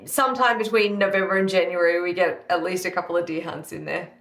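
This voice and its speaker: A woman's voice: lowish voice, fairly even intonation, fast, creaky, a bit bright. A woman with an Australian accent in her thirties, answering interview questions in a chatty, upbeat way with many fillers. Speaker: guest, live fire cook. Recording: echoey room.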